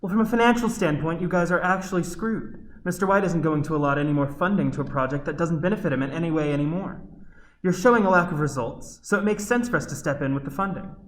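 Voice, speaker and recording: A woman's voice: low-pitched, fast talker, natural voice. A woman playing a blunt, smug government financial official in a radio play, matter-of-fact.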